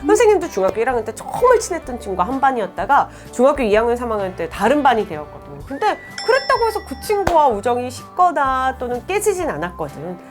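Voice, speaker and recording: A woman's voice: high-pitched, huge pitch swings, fast, few pauses. A woman Korean-language teacher, around her thirties, giving friendly advice to students and reading their letters aloud in a chatty, encouraging way, often laughing. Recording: faint background music.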